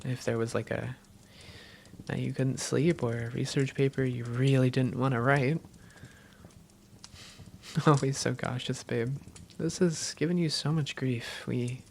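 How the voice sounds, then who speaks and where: A woman playing an affectionate, self-doubting vampire girlfriend in a cozy roleplay audio, speaking in an intimate, teasing and sometimes halting way. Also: extremely low pitch, fairly even intonation, vocal fry, darkish tone, a little hiss or hum, exaggerated voice. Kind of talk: cozy roleplay audio, one-sided conversation.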